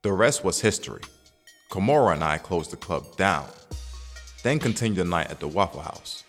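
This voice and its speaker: A man's voice: low-pitched, animated, moderate pace, soft background music. A man playing a confident, smitten young single man in an audio drama, talking in a slangy, conversational way. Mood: reflective. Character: cocky, smitten young single man telling his story. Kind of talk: audio drama narration and dialogue.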